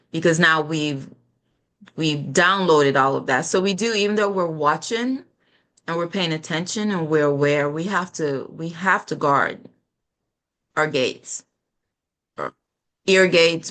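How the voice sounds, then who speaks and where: A woman's voice: deep voice, fairly bright tone. A middle-aged woman hosting an online panel show, speaking reflectively about health in spiritual terms. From Caribbean. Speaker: host.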